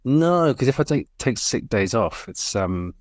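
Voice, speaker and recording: A man's voice: medium pitch, big pitch swings, unhurried, no room sound, studio quality. A man co-hosting a podcast with a stuffy, bunged-up voice, chatting in an easygoing way. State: congested, stuffy-nosed. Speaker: podcast co-host. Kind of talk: casual chat.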